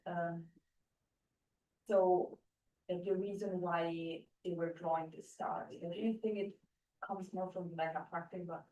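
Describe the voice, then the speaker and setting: A woman's voice: fairly low pitch, dark tone, highs cut off. A woman in an audience asking a question in a casual, hesitant way, leaning on filler words. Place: audience seats of a lecture hall. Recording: distant, echoey lecture room.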